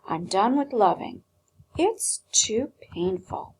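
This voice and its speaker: Woman as a sullen, husky china rabbit. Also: mid-range voice, very animated, slow pace, exaggerated voice, low-quality recording. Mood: resigned. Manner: resigned, sullen, muttering. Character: dejected male china toy rabbit, weary of love.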